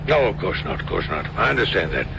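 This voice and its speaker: A courteous, genial colonel and dreamy teller of eerie tales in a vintage radio drama. His pitch moves expressively. Loud engine noise runs over the recording. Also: very animated, quick pace, vocal fry, full character voice. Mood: understanding. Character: genial gentleman colonel, an old daydreamer fond of eerie tales. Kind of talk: old-time radio drama acting. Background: loud truck driving noise from a game.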